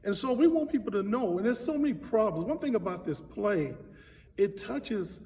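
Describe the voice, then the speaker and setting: A man who directs stage plays, telling personal stories in an interview in an earnest, animated way. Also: sits high, normal speed. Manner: earnest, animated storytelling with acted-out quotes. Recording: echoey hall, distant microphone.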